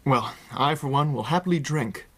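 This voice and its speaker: Radio drama: male actor as a confident young gentleman landowner, mid-pitched, easy-going, courteous, sceptical. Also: normal speed, natural voice. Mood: genial. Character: genial, confident young lord of the manor, sceptical.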